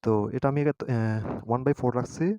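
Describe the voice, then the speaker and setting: Mellow-voiced man giving a halting tutorial. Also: medium pitch, fairly even intonation, muffled recording. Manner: hesitant, halting, often seeking agreement. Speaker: tutorial host. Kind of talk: informal step-by-step app tutorial.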